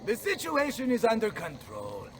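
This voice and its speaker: An actor playing a blustering, hot-tempered Italian mercenary general in a video game, with an Italian accent and a bombastic, theatrical manner. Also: male voice, high voice, huge pitch swings, moderate pace, recorded from a distance, very noisy. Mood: brisk, confident. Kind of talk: dramatic video game acting.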